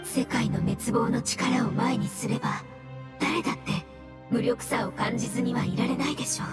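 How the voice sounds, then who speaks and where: An actress playing a polite, cautious floating mushroom creature in a game, speaking formally and with deference. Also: female voice, on the high side, steady tone, moderate pace, echoey room, far from the mic, over music, natural voice. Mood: sympathetic. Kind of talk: game dialogue acting.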